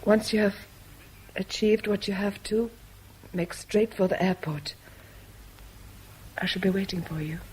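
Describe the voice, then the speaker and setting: A woman's voice: mid-range voice, measured pace, long pauses, a little mellow, natural voice. A woman playing an enigmatic, proud lover in a radio drama, with a cool, theatrical intensity. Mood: hushed, urgent. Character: enigmatic, proud woman caught between two rival men. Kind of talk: 1970s British radio drama acting. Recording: faintly noisy vintage radio broadcast.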